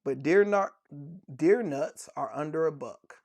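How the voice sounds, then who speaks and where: A man's voice: fairly high pitch, unhurried, darkish tone. A man co-hosting a casual podcast, playfully telling dad jokes and laughing at them. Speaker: podcast co-host.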